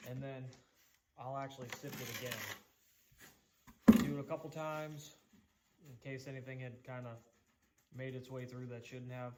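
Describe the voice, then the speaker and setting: A man's voice: mid-range voice, background noise. Folksy man with a Boston accent. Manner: folksy, chatty, loose asides. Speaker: DIY woodworking channel host. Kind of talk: casual how-to demonstration. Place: home workshop.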